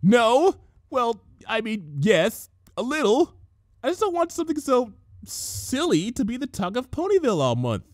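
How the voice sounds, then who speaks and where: A man puts on a young female unicorn for a cartoon fan story. She is bossy and bookish, pitched up and very animated. Her delivery is fussy, emphatic and exasperated. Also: moderate pace, no echo, clean studio sound, full character voice. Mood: embarrassed.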